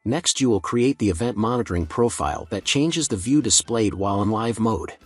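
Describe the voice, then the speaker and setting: A man reading a scripted software tutorial in a measured, procedural instructional manner. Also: lowish voice, expressive, slightly rough, no echo, soft background music.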